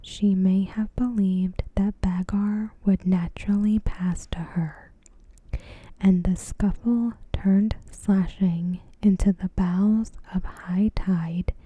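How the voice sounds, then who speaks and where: Woman whispering breathy, slow ASMR. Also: mid-range voice, no room sound, highs cut off. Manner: soft, slow, deliberate delivery. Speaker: ASMR host. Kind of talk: whispered ASMR, reading a TV episode review.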